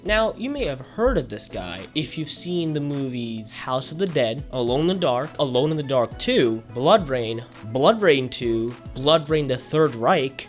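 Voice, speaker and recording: Animated, emphatic man giving video commentary. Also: on the high side. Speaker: YouTube host. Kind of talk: opinionated video essay commentary.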